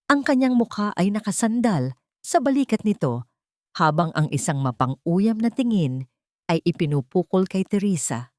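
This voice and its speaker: A woman's voice: medium pitch, sing-song, moderate pace, darkish tone, dry recording, studio quality. A woman narrator reading dramatic fiction as scripted storytelling.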